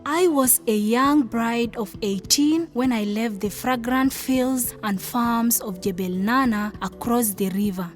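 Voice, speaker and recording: An actress playing a timid, dreamy young village wife from South Sudan in a radio drama, earnest in manner. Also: female voice, on the high side. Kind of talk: radio drama narration and acted dialogue.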